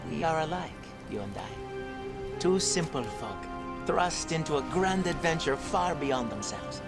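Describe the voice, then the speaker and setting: A man's voice: on the high side, animated, a little slow, creaky. A man playing a humble, earnest fisherman turned scholar in a fantasy game, with thoughtful archaic phrasing. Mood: earnest, resolute. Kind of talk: fantasy game cutscene acting. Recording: loud music behind voice.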